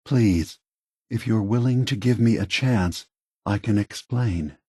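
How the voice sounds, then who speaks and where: An actor playing an aging prototype android leader in a video game, a gentle, philosophical idealist with a soft, husky voice and measured, earnest phrasing. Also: male voice, on the low side, no room sound, clean studio sound. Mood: patient, pleading. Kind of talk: acted video game dialogue.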